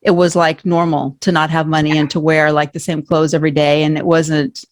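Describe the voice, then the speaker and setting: A woman's voice: low-pitched, flat delivery, fairly quick, dry recording. A woman from Norway, a guest on an interview podcast, talking candidly and rambling a little. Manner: candid, rambling, laughs often. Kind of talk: casual interview talk.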